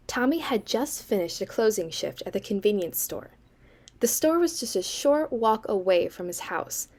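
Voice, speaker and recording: A young woman acting as a sardonic, eerie campfire storyteller in a student audio drama, with dry, deliberate delivery. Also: medium pitch, warm tone, husky. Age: young adult.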